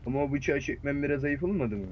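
A man's voice: on the high side, expressive, fast talker, highs cut off. A man giving slangy, taunting banter in an online role-play game. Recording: game voice chat with chatter behind.

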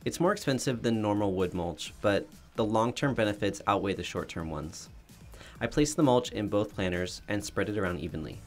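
A man's voice: lowish voice, lively intonation, moderate pace. A man, narrating his backyard renovation in a plain, matter-of-fact voice-over. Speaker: DIY home renovation vlogger. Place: voice-over, not recorded in the pictured yard. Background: soft background music.

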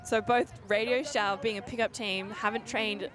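A woman's voice: medium pitch, steady tone, moderate pace, crowd noise. A woman giving light, joking color commentary at an outdoor tournament. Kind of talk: live sports commentary.

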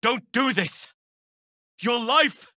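An actor playing a big, earnest druid healer in a video game, solemn and gentle, with measured, formal, old-fashioned phrasing. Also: male voice, very high-pitched, expressive, no room sound, studio quality, heavily acted. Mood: fierce, commanding. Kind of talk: video game character voice acting.

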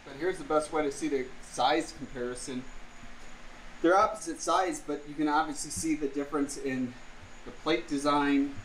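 A man's voice: fairly high pitch, steady tone, moderate pace, fairly bright tone, roomy sound, slight background noise, rough recording. A man casually explaining a jet ski part swap, thinking aloud with lots of fillers, recorded on a camera in his home garage. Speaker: vlogger. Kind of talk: casual how-to vlog.

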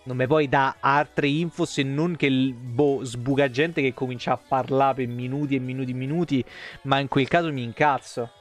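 A man's voice: medium pitch, moderate pace, soft background music. A man in his thirties with a Roman Italian accent, chatting casually as a game streamer, rambling and swearing freely into a close desk microphone. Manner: profane, rambling, expressive. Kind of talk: casual chatty livestream commentary. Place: small room at home.

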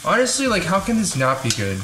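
A man's voice: sits high, huge pitch swings, fairly quick. Chatty young man cooking in an echoey kitchen. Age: young adult. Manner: chatty, self-deprecating, thinks aloud while cooking. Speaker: vlogger cooking at home. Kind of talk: casual cooking vlog. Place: home kitchen, indoors. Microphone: camera mic at a distance. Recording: echoey kitchen with frying noise. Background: sizzling pan and background music.

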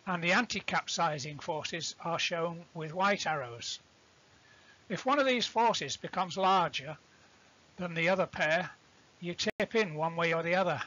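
A man giving an explanatory lecture as an instructor, deliberate and didactic, defining terms as he goes. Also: high-pitched, sharp tone, highs cut off. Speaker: instructor presenting a sailing tutorial.

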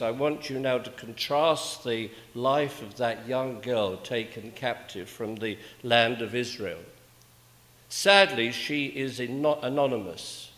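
An older man preaching a sermon in a measured, rhetorical manner, with emphatic pauses and repeated phrases. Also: mid-range voice, fairly bright tone. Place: indoors, small church at a pulpit. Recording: echoey church with long reverb.

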